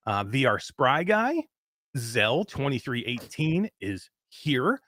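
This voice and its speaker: A man of fifty hosting a live show in casual chat, reading out greetings with excitement. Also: mid-range voice, slow, no room sound, clean studio sound. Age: 50s. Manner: excited, animated chat shout-outs. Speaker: host.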